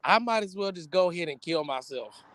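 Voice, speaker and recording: A man in his forties co-hosting a podcast, slangy, joking and animated in casual chat. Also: high-pitched, fairly quick. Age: 40s. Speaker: podcast co-host.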